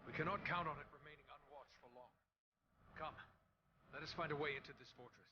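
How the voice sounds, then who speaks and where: A man's voice: fairly high pitch, quick pace, highs cut off, background noise, close to an everyday voice. A man playing a noble, steadfast ranger hero who speaks formally and earnestly in a fantasy game. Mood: firm. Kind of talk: fantasy video game cutscene acting. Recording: loud music behind the voice.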